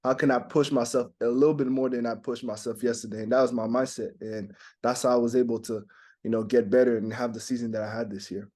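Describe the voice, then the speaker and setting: A young man from Montreal, a native French speaker, answering interview questions in a halting way with many fillers and restarts. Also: mid-range voice, flat delivery, warm tone. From Montreal, Canada. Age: young adult. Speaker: basketball draft prospect.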